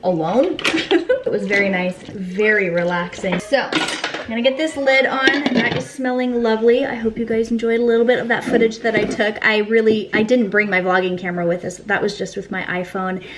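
An upbeat young woman talks to her camera while she cooks and does jobs around the house, chatty and eager. Her pitch sits right in the middle for a woman. A clear hum of household noise runs under her voice. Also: fairly even intonation, normal speed. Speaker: homemaking vlogger. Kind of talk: casual chat to camera. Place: home kitchen and small bathroom. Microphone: handheld camera at arm's length.

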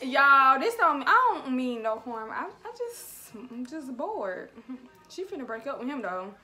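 High, bright young woman. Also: sing-song, unhurried. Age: young adult. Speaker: prank-call vlogger. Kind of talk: casual prank calls and chat to viewers. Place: small bedroom, indoors.

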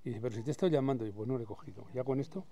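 A man's voice: mid-range voice, rapid-fire, warm tone. A man, chatting casually in a folksy, repetitive way outdoors. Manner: folksy, repeats himself. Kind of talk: casual chat. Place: outdoors in a village and vegetable garden.

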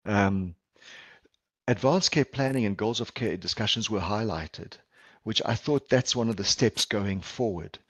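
Low-voiced South African man presenting. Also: fairly bright tone, breathy edge, dry recording. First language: Portuguese. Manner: earnest, measured, with frequent ums and restarts. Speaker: palliative care physician presenter. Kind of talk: conference presentation over video call. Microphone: video call headset or laptop mic.

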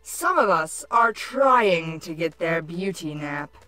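A woman's voice: lowish voice, lively intonation, slow pace, bright, forceful tone, dry recording, faint music behind, heavily acted. A woman voicing a haughty, irritable changeling queen villain, sardonic and scolding, in a cartoon-style fan audio drama. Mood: irritated.

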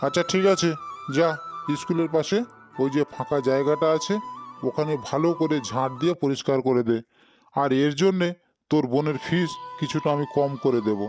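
A man's voice: a little high, fairly quick, music in the background, close to an everyday voice. A man voicing a stern village authority figure in a cartoon, with a blunt, commanding manner. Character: stern village landlord and other authority elders. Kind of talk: Bengali cartoon dubbing.

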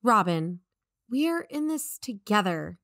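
A woman's voice: medium pitch, sing-song, slow pace, a bit bright, no echo, clean studio sound, full character voice. A woman playing an assured podcast investigator in a conversational audio drama, reasoning and probing persuasively. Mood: firm, challenging. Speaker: fictional podcast co-host.

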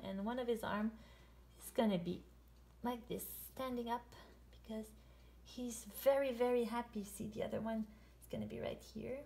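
A woman's voice: on the high side, gravelly, dark tone. A woman in her thirties, a children's author and illustrator, explaining her drawing in simple, short phrases as if for young children. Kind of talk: storytime and drawing demonstration for children.

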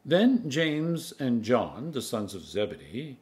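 A man in his sixties, an Anglican priest, reading scripture aloud in a measured, solemn, emphatic manner. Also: medium pitch, big pitch swings, darkish tone. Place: home kitchen, indoors.

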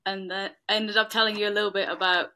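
A woman's voice: medium pitch, level tone, fast, pushed and bright. A young woman from Switzerland, talking earnestly as an interview guest and saying "like" often. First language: Swiss German. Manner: earnest, says "like" a lot. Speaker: guest, works at a refugee school. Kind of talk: casual interview talk.

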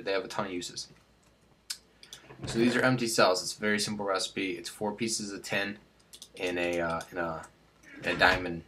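A man's voice: lowish voice, a bit bright, a little hiss or hum. A young man with a stuffed-up nose hosting a casual game tutorial, rambling with many fillers and restarts. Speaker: Minecraft tutorial host.

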